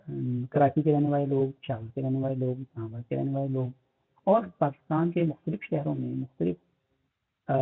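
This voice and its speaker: A man with a Pakistani accent, teaching phonetics in a lecture. He mixes English terms into his explanations, uses many fillers and reads English lines slowly. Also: medium pitch, gravelly, husky. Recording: tinny phone-like audio.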